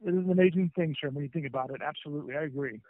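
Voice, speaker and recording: A man's voice: on the high side, rapid-fire, dry recording. A man working as a phone agent, speaking politely and formally in scripted customer service style. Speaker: plumbing company phone agent. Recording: tinny lo-fi phone call.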